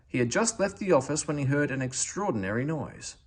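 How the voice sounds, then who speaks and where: A man reading a children's story aloud as narrator, with expressive delivery. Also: on the high side, fairly quick, husky. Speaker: storybook reader.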